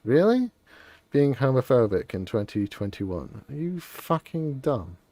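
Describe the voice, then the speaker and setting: A woman's voice: rumbling low, big pitch swings, measured pace, warm tone, no echo. A woman giving casual, rambling livestream commentary on a game in an informal way. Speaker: game streamer. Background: faint game music.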